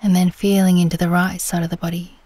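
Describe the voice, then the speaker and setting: A woman leading a guided meditation in a gentle, soothing, unhurried manner, her soft voice turning breathy at the ends of phrases. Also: on the low side, little pitch movement, no echo.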